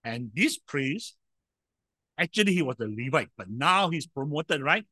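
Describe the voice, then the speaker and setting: A man preaching a sermon in a loud voice, emphatic, with sudden swings in volume and frequent rhetorical questions. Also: a little high, very animated, unhurried, no echo.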